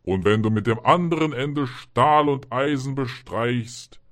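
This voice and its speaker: A man voicing a solemn male spirit in a storybook tale, proclaiming slowly and emphatically. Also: mid-range voice, pitched above the performer's own voice, lightly acted. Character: freed male spirit solemnly granting a magic gift.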